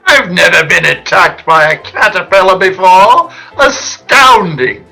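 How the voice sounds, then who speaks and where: Man playing a sharp-voiced pompous scientist. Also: high-pitched, lively intonation, gravelly, faint music behind, full character voice. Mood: pedantic, marveling. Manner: pedantic, condescending, deliberate. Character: pompous, genteel male scientist, fussy caterpillar expert. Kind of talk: old-time radio comedy acting.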